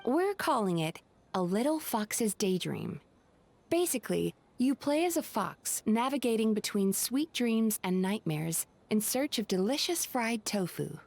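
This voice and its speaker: A young woman who plans things with easy confidence. Her voice sits at a middle pitch and moves in lively swings, breezy and a little teasing, as in a video game story scene. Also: a little slow, gravelly, heavily acted. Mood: amused explaining. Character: confident, playful young woman, organized event planner. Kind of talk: video game character acting.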